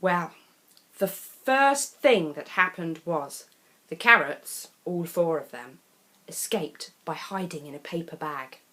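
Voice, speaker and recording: A woman reads a picture book aloud for young children. Her delivery is unhurried, with pitch that rises and falls in a lively way. She is expressive and deliberate, leaving dramatic pauses between short phrases. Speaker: storyteller reading children's picture books. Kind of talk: animated children's story reading.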